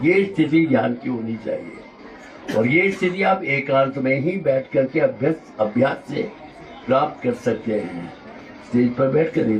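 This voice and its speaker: A man with a sore throat gives a slow, reflective devotional discourse, wandering between points and explaining his terms. His voice sits at an ordinary pitch. It was recorded from a distance in an echoey hall. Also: highs cut off. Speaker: spiritual teacher. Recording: roomy hall audio, mic at a distance.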